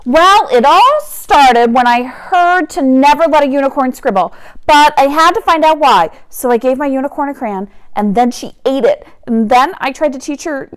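A woman teacher reading a children's story aloud in a dramatic, expressive manner, drawing out key words for emphasis. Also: sits high, normal speed, poor audio. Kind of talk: animated picture-book read-aloud.

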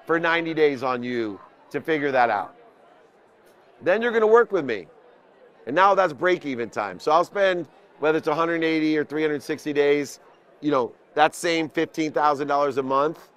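Higher-voiced man coaching, emphatic. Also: a bit bright. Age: 50s. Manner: emphatic, listing points in parallel phrases. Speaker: business coach. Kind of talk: impromptu motivational business talk. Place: indoor convention booth with onlookers. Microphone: small mic clipped at the collar.

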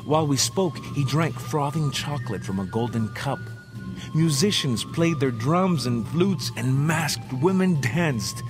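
Loud music plays behind a man acting as a proud Aztec jaguar warrior. He narrates a game cutscene in a solemn, measured way, with a mid-pitched male voice that rises and falls dramatically. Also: lively intonation, lightly acted.

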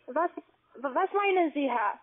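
A non-native German-speaking woman with a foreign accent, making a sales pitch as a call-centre agent. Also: animated, slow pace. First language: not German. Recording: tinny narrowband phone line.

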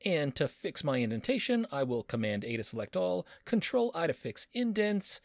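A man's voice: on the high side, very animated, normal speed, vocal fry, dry recording. Guy professor walking through code, bouncy sing-song voice, super dry close mic, jokey and upbeat. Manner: playful, enthusiastic, pop-culture jokes. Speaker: university professor teaching coding. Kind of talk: screen-recorded coding lesson.

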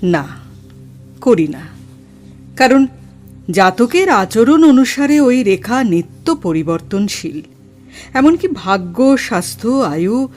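Slow, grave female spirit medium. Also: medium pitch, a little mellow. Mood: firm, dignified. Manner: formal, measured, solemn. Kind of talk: Bengali horror audio drama acting.

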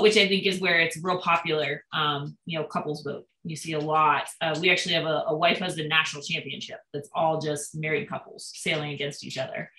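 A woman's voice: low voice, pushed and bright. A young woman answering interview questions over a video call, explaining steadily with frequent ums. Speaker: boat builder guest. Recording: roomy video call audio.

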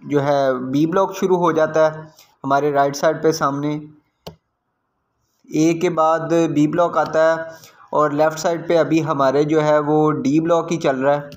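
A man's voice: on the high side, little pitch movement, measured pace. A man with a Pakistani accent giving informal, explanatory commentary on a property site visit as a real estate video host.

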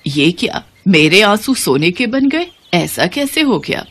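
A woman voicing a weary, meek old mother-in-law in a cartoon story, speaking gently and plaintively. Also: mid-range voice, big pitch swings, unhurried, husky, soft background music, full character voice. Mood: astonished.

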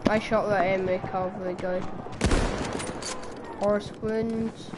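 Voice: medium pitch, little pitch movement, slow pace, very noisy. Young gamer with a sore throat. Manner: chatty, exclaims at game events. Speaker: gamer streaming gameplay. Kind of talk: casual gameplay chat. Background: game gunfire and ambience.

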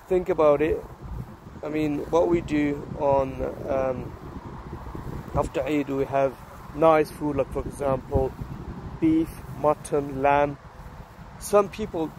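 A slightly hoarse man in his thirties, vlogging casually on a phone in an open park, talking haltingly with many fillers and restarts. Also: fairly high pitch, steady tone. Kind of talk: casual selfie vlog. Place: open grassy park outdoors. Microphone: phone held at arm's length. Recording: outdoor phone audio with wind and traffic noise.

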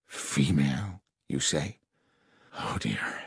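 A man playing a low-voiced, uneasy gentleman client. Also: big pitch swings, unhurried, dry recording, clean studio sound, heavily acted. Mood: shaken. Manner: formal, courteous. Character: polite, well-to-do gentleman client, baffled and uneasy. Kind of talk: dramatised audiobook detective story.

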